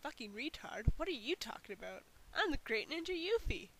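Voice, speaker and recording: A woman's voice: high-pitched, sing-song, brisk. A woman voicing perky, casual young female game characters in a fan parody dub, on a hissy amateur recording.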